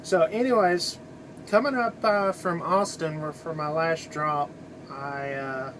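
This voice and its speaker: A middle-aged American man, a truck driver, rambling casually in a halting, conversational way to his vlog. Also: on the high side, background noise.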